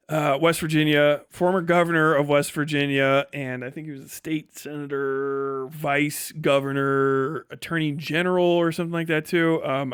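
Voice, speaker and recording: A man's voice: fairly high pitch, fairly bright tone, smooth, dry recording, clean studio sound. A man giving casual, ranting live-stream political commentary in a profane, scornful, emphatic way, often repeating phrases for emphasis.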